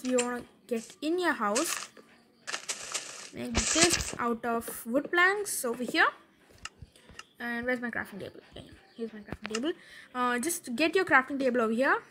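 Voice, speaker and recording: Woman, high bright voice, casual tutorial narrator, chatty with fillers, faint hiss behind. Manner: chatty, fillers, quick laughs, thinks aloud while building. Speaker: Minecraft building tutorial creator.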